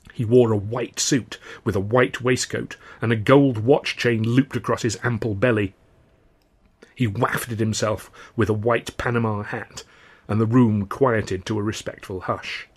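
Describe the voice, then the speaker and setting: Husky male audiobook narrator, wry. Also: lowish voice. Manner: wry first-person storytelling. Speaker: author reading own novel.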